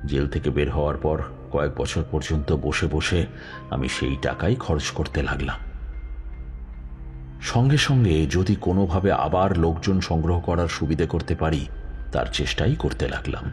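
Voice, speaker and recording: Proud aging dacoit leader, very low voice, measured confession, deliberate pauses, audio drama with music underneath. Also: male voice. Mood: weary, recounting. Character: aging male bandit chief, proud, unrepentant, confessing to police. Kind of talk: dramatised audio story monologue, first-person confession.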